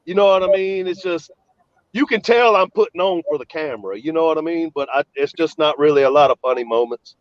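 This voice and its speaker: A man with a slightly high voice hosts a laid-back chat, sounding stuffed up and sniffly. He rambles easily through long stories. The sound is dull and lo-fi, close and dry. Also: a little fast, no echo. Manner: rambling, relaxed storytelling. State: congested and sniffly, recovering from illness. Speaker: host. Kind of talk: casual podcast chat.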